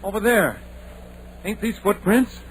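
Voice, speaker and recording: A man's voice: high-pitched, a little slow. An actor playing a frightened, reluctant country townsman, hesitant and folksy, in an old radio horror play. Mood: uneasy. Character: frightened, reluctant rustic townsman, nervous and superstitious. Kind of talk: 1940s American radio horror acting. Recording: noisy old radio recording.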